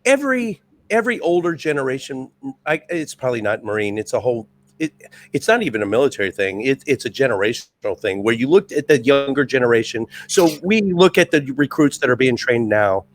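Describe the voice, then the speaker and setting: Raspy-voiced man, intense and amped up. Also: medium pitch, big pitch swings, fairly quick, a little hiss or hum. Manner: intense, amped-up, restless talker. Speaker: podcast guest, retired Marine first sergeant. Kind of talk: casual chat.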